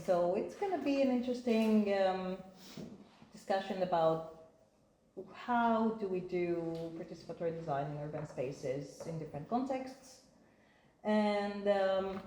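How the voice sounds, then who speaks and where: A woman's voice: medium pitch, a little mellow. A woman from Greece moderating a panel discussion, hesitant with many fillers and laughs. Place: conference room. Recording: echoey room, faint noise.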